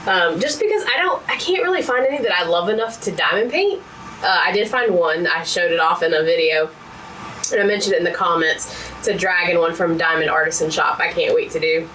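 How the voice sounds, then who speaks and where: A woman with a lowish voice chats as co-host in a low-key, matter-of-fact way, with a dry, understated touch. She is a little far from the microphone, and faint noise sits behind her. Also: brisk, fairly bright tone. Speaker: co-host of a diamond painting channel. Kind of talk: casual chat.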